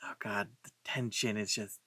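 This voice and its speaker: A man's voice: fairly low pitch, flat delivery, normal speed, pushed and bright, breathy edge, no room sound. A man hosting a let's play, giving casual commentary in rambling, informal asides. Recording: game music behind.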